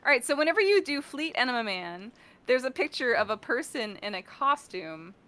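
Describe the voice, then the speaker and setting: An American woman chatting casually and jokingly as a guest on a livestream, animated and giggly. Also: a little high, fairly quick. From Pittsburgh, United States. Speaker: interview guest, voice actor and audio director. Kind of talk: casual group chat on a livestream. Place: remote online call for a livestream.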